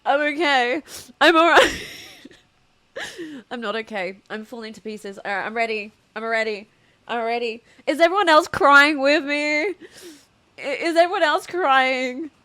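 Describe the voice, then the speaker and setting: High female voice, bright, tearful, emotional, casual game-stream chat. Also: animated, measured pace. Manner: emotional, giggly, exclamatory. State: tearful from crying. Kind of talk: live playthrough reaction, casual chat.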